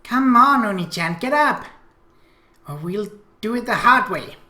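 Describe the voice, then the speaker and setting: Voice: medium pitch, expressive, measured pace, pushed and bright, echoey room, voice pushed up. A performer voicing a pushy, clingy teenage little sister from an anime visual novel, with whiny, demanding baby talk. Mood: insistent.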